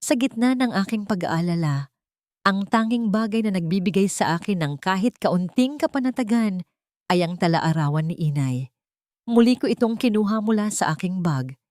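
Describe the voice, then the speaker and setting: A woman reading a scripted first-person dramatic story as narrator, in an earnest, deliberate way. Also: mid-range voice, expressive, darkish tone, no room sound, clean studio sound.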